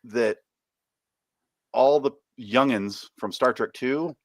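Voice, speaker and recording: A mid-pitched man chats casually on a podcast, puzzling aloud over story details with a jokey edge. His voice is dry and close to the mic, with no room sound. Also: takes it slowly, no echo. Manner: chatty, quizzical, jokey. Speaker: podcast host. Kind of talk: casual chat.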